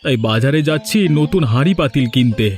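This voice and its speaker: A man playing a weary but gently wily village family man in a cartoon, talking in a plain conversational way. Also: medium pitch, animated, a little fast, soft background music, lightly acted. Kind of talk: cartoon dubbing.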